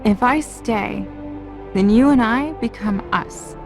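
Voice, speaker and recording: A woman's voice: fairly high pitch, slow. A woman playing an earnest female character in a dramatic video game scene, with music behind her. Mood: tender, regretful. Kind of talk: video game cutscene acting.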